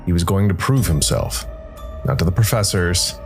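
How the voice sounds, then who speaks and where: A man with a low voice narrates a dark fantasy tale from a script. His delivery is measured, breaking into short phrases with dramatic pauses. Faint music plays behind him. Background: background music.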